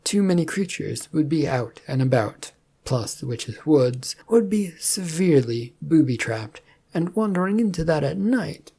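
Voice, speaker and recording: A woman's voice: low-pitched, expressive, measured pace, dark tone, breathy edge. A woman narrating a scripted fantasy story.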